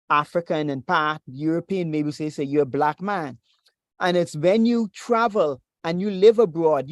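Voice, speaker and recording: Measured preacher, dry sound. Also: medium pitch, dry recording. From Bahamas. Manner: measured, deliberate reading and teaching. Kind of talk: sermon presented over a video call. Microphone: video call with screen share.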